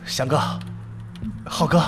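A man's voice: slow, close to an everyday voice. Radio play team gofer, male actor: young man, nervous, gossipy mutterer, reverberant sound, heavy music underneath. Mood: nervous, deferential. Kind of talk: Chinese audio drama acting. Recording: reverberant, very loud background music.

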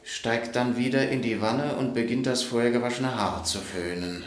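An actor playing an assured, matter-of-fact doctor in a radio play, explaining things in a measured, dry and slightly pedantic way. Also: male voice, medium pitch, steady tone, close to an everyday voice. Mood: explanatory. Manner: measured, explanatory, dryly precise. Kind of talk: German radio play acting. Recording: roomy, echoing interior acoustic.

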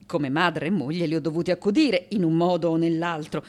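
A woman acting an elderly female artist in a radio play. The voice is low and soft-edged, the delivery deliberate and theatrical. She sounds lucid and dryly ironic, an unconventional mind thinking aloud. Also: close to an everyday voice. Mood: wry, dismissive.

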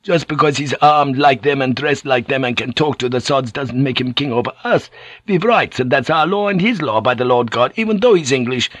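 A man's voice: medium pitch, steady tone, normal speed, hardly any pauses, no echo, close to an everyday voice. A man voicing a truculent, resentful merchant seaman in an audiobook, hissing and arguing indignantly.

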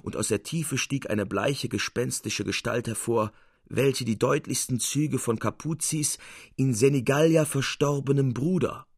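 A man's voice: mid-range voice, unhurried, darkish tone, slightly rough, no room sound. Man reading a classic story, formal and dramatic, midrange voice, very dry studio sound. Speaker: narrator. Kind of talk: expressive scripted literary narration.

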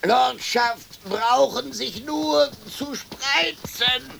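High, creaky, mocking crow. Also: female voice, extremely slow, a bit bright, a little hiss or hum, full character voice. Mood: falsely obliging. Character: sly, mocking crow, falsely obliging. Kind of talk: stylized German radio play acting.

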